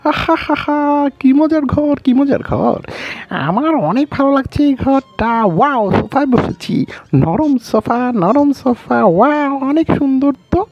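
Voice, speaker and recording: A woman voicing a small, excitable cartoon chipmunk who is playful, exclaims a lot and is easily annoyed. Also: high-pitched, moderate pace, no echo, lo-fi sound. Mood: delighted. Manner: exclamatory, repetitive. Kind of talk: Bengali cartoon dub, exaggerated.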